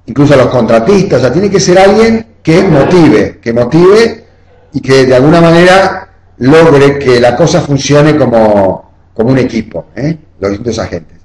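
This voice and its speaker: A man's voice: mid-range voice, lively intonation, moderate pace, darkish tone. A man giving an online class lecture, explaining step by step and often checking in with "¿eh?". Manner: explanatory, often adds "o sea" and "¿eh?". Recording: roomy echo.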